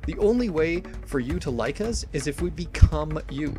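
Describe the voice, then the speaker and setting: A man's voice: on the high side, lively intonation, moderate pace, music in the background, lightly acted. A man dramatically reading the part of a combative, exasperated online debater, argumentative, emphatic and sweary.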